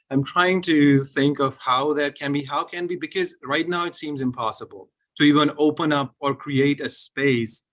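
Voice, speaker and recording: A man's voice: mid-range voice, sharp tone. A man from Pakistan answering an audience question on a conference panel, hesitant, repeating words as he thinks aloud.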